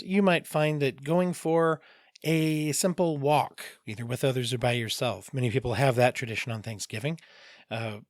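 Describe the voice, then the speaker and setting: Slightly raspy jokey podcast host. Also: male voice, mid-range voice, a bit bright, no room sound, clean studio sound. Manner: rambling, jokey asides and self-corrections. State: a bit raspy voice. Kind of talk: casual solo mental health tip.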